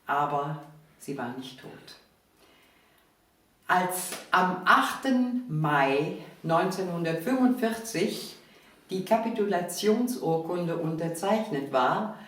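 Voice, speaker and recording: A woman from Cologne hosts a talk show with slow, deliberate, emphatic speech. Her voice sits at a middling pitch. It rings heavily around the room, picked up at some distance from the microphone. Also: expressive, a bit bright, heavy reverb. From Cologne, Germany. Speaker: host of a local talk show. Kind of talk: spoken introduction and interview chat.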